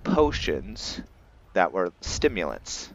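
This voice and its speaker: A man giving casual, improvised game commentary in a halting, think-aloud manner as he narrates a roleplay playthrough. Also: low-pitched, sing-song, lo-fi sound. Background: background game music.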